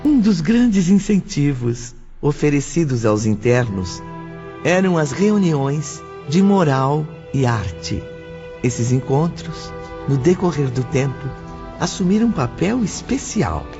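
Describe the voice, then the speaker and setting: A man's voice: a little high, very animated, slow. A man reading scripted third-person narration for an audio drama. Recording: dull audio with faint music.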